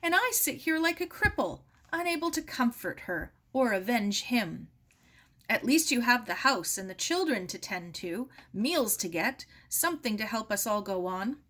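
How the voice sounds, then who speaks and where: A woman's voice: a little high, sing-song, normal speed, pushed and bright, voice pushed up, heavily acted. A woman voicing a grieving, irritable storybook uncle, bitter and emphatic. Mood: anguished, furious.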